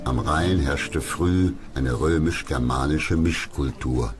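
Very deep-voiced male documentary narrator. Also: slow pace, music in the background.

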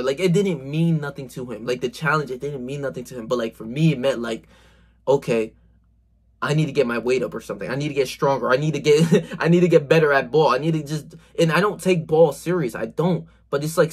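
Young guy ranting to his phone in his bedroom, husky, kinda high voice, swears a ton, says bro constantly. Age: 20s. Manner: slangy, emphatic, lots of swearing and "bro"; gestures a lot while talking. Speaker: self-improvement vlogger. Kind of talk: casual motivational talk to camera. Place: small bedroom indoors.

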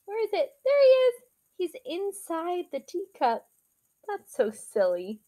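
A young woman reading a children's picture book aloud in an animated, drawn-out, singsong way, like a teacher talking to small children. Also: very high voice. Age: young adult. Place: small living room, seated in an armchair indoors.

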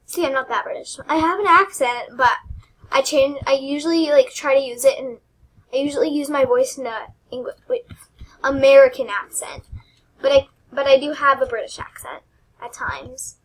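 A young woman hosting her own game channel, chatting casually and rambling with giggles while she plays, her voice squeaky and often dropping to a mutter. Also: normal speed, low-quality recording. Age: teens. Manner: rambling, giggly, self-deprecating. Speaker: gaming channel host. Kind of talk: casual gameplay commentary.

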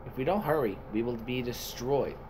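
A man voicing an excitable soldier commander in amateur sci-fi video-game voice lines, giving urgent, halting battle callouts. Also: medium pitch, background noise, voice pushed down, lightly acted. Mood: brisk, urgent.

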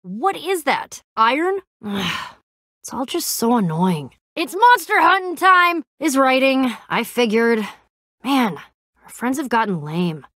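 A brash young warrior girl in a video game, high pitched and bouncy, cocky slangy teasing. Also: lively intonation, slow, fairly bright tone, no room sound, clean studio sound, full character voice. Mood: annoyed, griping. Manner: cocky, sarcastic, slangy banter. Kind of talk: animated video game character acting.

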